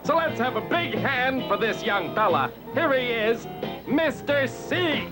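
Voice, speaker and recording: A man's voice: very high-pitched, big pitch swings, unhurried, loud music behind the voice, exaggerated voice. A man voicing a snide, self-important cartoon TV show host who announces in showbiz style and chuckles at himself. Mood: mocking glee. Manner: pompous, chuckling at own remarks. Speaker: master of ceremonies.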